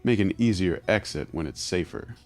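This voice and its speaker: Male actor as smooth, guarded fixer: very low voice, formal and condescending, audio drama with music underneath. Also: animated, normal speed, darkish tone. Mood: grave, guarded. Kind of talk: scripted audio drama acting.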